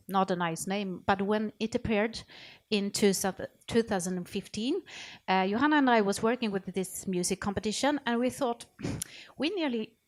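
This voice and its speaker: A woman speaking informally on a panel, in halting phrases with self-corrections. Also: mid-range voice. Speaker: panelist, music project coordinator.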